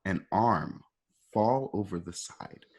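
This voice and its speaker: Man, husky, lowish voice, conversational narrator, reading scene directions for a radio play. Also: animated, slow pace. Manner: conversational, ad-libbing.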